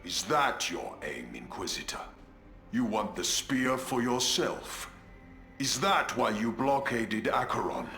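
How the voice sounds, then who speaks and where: A battle-hardened sci-fi game commander, noble and zealous. His heroic delivery swings widely in pitch, under loud music. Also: sing-song, slow, reverberant, heavily acted. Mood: grave, defiant. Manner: heroic, declamatory. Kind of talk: dramatic video game acting.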